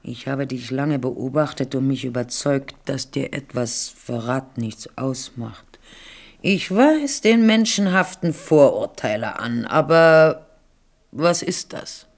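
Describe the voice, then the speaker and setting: Durchtriebene alte Königinmutter. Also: female voice, a bass voice, animated, slow, full character voice. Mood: sly, condescending. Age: older. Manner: condescending, calculating. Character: scheming, cynical old queen mother. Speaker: radio drama actor. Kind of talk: 1970s German radio play acting.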